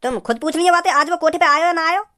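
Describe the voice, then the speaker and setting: A man puts on a shameless rustic cartoon fellow who runs a brothel. The voice is pitched up and high, rattling along quickly in a tattling, insistent ramble. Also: bright, forceful tone. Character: brazen, gossipy rustic male brothel keeper. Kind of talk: amateur comedy cartoon dubbing.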